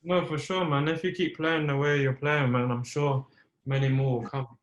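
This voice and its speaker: A man's voice: on the high side, flat delivery, lo-fi sound, a little hiss or hum. Casual male interviewer in an echoey room. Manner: casual and encouraging, quick "yeah, yeah" and slang like "bro". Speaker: podcast host, interviewer.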